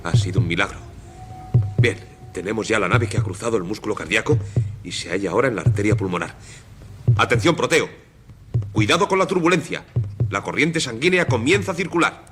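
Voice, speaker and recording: Man acting an intent mission control guy, lowish voice, radio play with music going behind. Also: lively intonation, fairly quick, pauses often, lightly acted. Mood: relieved, urgent. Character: intent male mission controller warning a crew.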